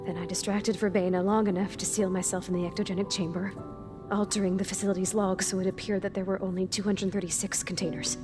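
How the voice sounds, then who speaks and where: A woman's voice: medium pitch, little pitch movement, fast talker, music in the background, lightly acted. A young woman playing a brilliant, anxious, impatient escapee in a video game, speaking in precise technical phrasing. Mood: subdued, wary. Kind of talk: dramatic video game acting.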